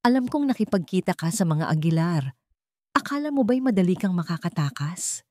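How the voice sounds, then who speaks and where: A woman's voice: on the low side, big pitch swings, a little fast, mellow, no room sound. A woman with a Filipino accent voicing a cold, threatening male villain in an audio story drama. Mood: menacing.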